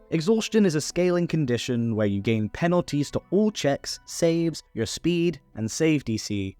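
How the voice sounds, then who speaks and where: A man's voice: medium pitch, a little mellow, dry recording. A man narrating a scripted tutorial on tabletop game rules, recorded as a close voice-over. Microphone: close voice-over microphone.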